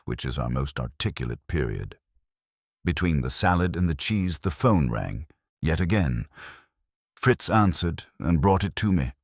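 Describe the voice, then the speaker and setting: Male audiobook narrator for detective fiction: very low pitch, expressive melody, dry close recording. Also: slightly rough, dry recording. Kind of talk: scripted first-person detective story narration.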